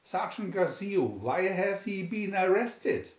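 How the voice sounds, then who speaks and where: A man reading the part of an educated, cunning, haughty young gentleman hero in a storybook, in a measured, declamatory way. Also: high-pitched, very animated, pitched above the performer's own voice, heavily acted. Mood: astonished. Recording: roomy, dull home recording.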